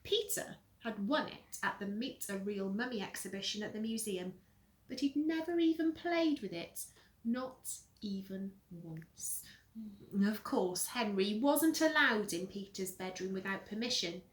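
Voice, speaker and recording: A woman reading a children's story aloud in a dramatic, deliberate, expressive way, like a teacher with pupils. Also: medium pitch. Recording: roomy echo.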